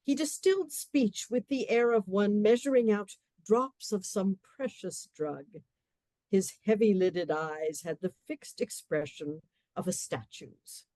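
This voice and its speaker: A woman narrating a comic period radio play with measured, wry storytelling. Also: mid-range voice, warm tone, no echo.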